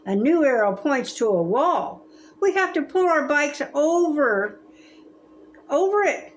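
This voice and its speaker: A woman in her seventies reading a children's story aloud in a gentle, expressive, grandmotherly way. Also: high-pitched, slow. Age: 70s. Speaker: storybook reader.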